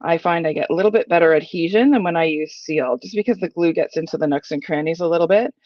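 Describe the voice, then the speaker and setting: A chatty, enthusiastic middle-aged woman walks viewers through a craft project, full of fillers and asides. Her voice is a little croaky from a cold. The sound is dry and a bit dull, as if from a modest home setup. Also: fairly low pitch, fast, dry recording. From Ontario, Canada. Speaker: papercraft demonstrator. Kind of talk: live crafting tutorial.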